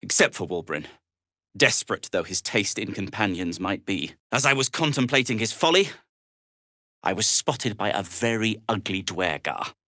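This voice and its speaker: A man's voice: on the low side, lively intonation, normal speed, pushed and bright, no room sound, studio quality. A male actor playing a world-weary, prim gnome in a video game, wry and exasperated, with formal wording. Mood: rueful. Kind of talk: video game character dialogue.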